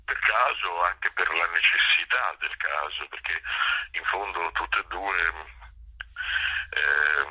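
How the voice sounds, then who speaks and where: Very deep, husky older man, halting phrasing. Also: bright, forceful tone, rough recording. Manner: halting, hesitant phrasing with repeated words. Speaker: theatre actor and director being interviewed. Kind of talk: reflective spoken interview answers.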